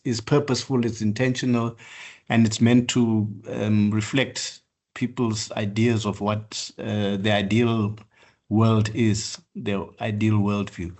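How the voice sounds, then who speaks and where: An older man from southern Africa gives a lecture on his work. He speaks in a measured, halting way, pausing often on fillers and starting phrases over. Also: fairly low pitch, a little mellow. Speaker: architect lecturer. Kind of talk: online practice lecture.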